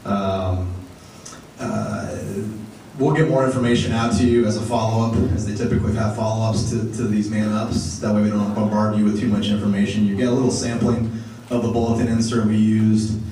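A man with a somewhat low, warm voice addresses a room full of men, pausing often with fillers. He is heard from across a large meeting room, with strong echo and faint room noise. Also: flat delivery. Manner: halting, many fillers. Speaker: group organizer introducing speakers. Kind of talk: casual talk to a men's group. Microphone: far camera. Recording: echoey room from far off.

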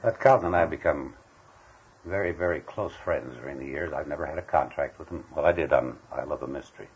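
An older man with an extremely low voice reminisces casually as an interview guest. He drives points home by repeating phrases. The tape is dull, with faint noise behind him. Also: fast talker. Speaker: veteran radio actor interviewed as guest.

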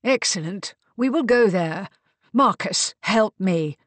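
A woman voicing a commanding, exasperated matriarch, brisk and scolding, in an audiobook. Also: mid-range voice, lively intonation, dry recording.

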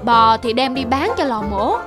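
A woman's voice: a little high, expressive, moderate pace, over music, lightly acted. A woman voicing a stingy, bossy middle-aged male landowner in a cartoon folk tale, grumbling and commanding. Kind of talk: animated folk tale acting.

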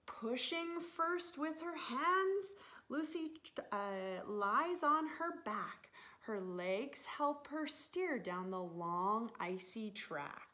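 A woman in her thirties, a teacher reading a picture book aloud to young children in an expressive, animated manner with drawn-out words. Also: high-pitched. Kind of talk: expressive children's story time read-aloud. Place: living room, indoors on a sofa.

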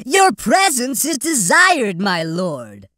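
A man voicing a small crab-like alien servant in an audiobook, squeaky, formal and deferential. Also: wildly swooping pitch, slow, bright, forceful tone, dry recording, clean studio sound, heavily acted. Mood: servile, formal.

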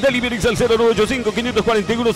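Man, paraguayan spanish accent, sponsor ad reads, very high pitch, rapid delivery. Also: fairly even intonation. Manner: brisk, salesy reading of phone numbers. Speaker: sponsor announcer. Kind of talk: read sponsor ads during a sports broadcast.

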